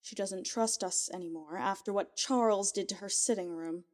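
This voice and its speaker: An actress plays a lady-in-waiting in a fantasy audio drama. Her voice sits at a middle pitch for a woman. She comes across as brisk and businesslike. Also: natural voice. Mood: concerned, brisk.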